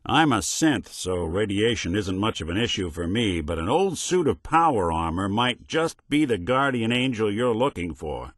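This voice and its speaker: A synthetic American private eye from a video game, weary and wry but decent underneath. His low voice moves expressively through streetwise, hard-boiled noir lines, recorded close and dry. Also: sing-song, moderate pace, few pauses, fairly bright tone, dry recording. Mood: wry, knowing. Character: weary, wry old-school detective robot with a kind streak. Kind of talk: video game voice acting, noir detective dialogue.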